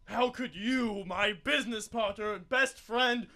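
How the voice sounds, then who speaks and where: A man's voice: high voice, unhurried, bright, forceful tone, full character voice. A young man playing an indignant betrayed husband and factory owner, overwrought in a campy radio soap style. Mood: wounded, indignant. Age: young adult. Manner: overwrought, dramatic. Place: small radio studio.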